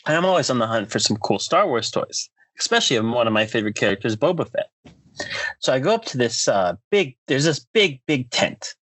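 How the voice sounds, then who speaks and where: An American man hosting a podcast, delivering a comedic rant in a theatrical, mock-announcer way with drawn-out emphasis. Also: mid-range voice, a bit bright, dry recording. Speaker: podcast host.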